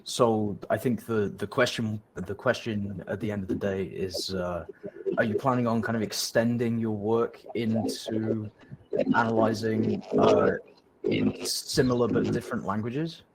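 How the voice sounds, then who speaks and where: Casual moderator on a video call. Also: male voice, lowish voice, animated, a little slow, creaky, a little hiss or hum, low-quality recording. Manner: casual, lots of fillers, repeats himself. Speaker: session moderator.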